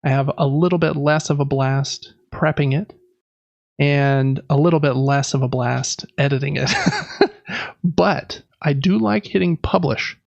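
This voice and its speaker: A man in his thirties hosts a podcast in a slightly high, creaky voice. He rambles casually and pokes fun at himself. The sound is close and dry. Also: a little slow, dry recording, lo-fi sound. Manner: rambling, self-deprecating. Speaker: podcast host. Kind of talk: casual chat.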